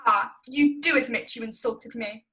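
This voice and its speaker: Young woman playing a haughty high-pitched society gossip. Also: normal speed, bright, forceful tone. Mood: indignant. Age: young adult. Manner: haughty, insinuating, theatrical. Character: meddling, self-righteous society gossip, a married woman. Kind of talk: stage play acting.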